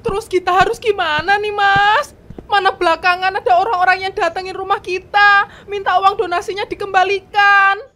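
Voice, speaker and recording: A woman's voice: very high voice, steady tone, moderate pace, pushed and bright. A woman voicing an anxious, long-suffering young wife in a cartoon drama, speaking in a pleading, complaining way. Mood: worried. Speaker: Hesti. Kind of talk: cartoon voice acting.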